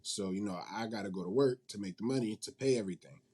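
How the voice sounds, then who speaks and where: Low, mellow-voiced man chatting casually. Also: quick pace. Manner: informal, colloquial, emphatic repetition. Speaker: guest, indie manga publisher and company CEO. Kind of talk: casual interview chat.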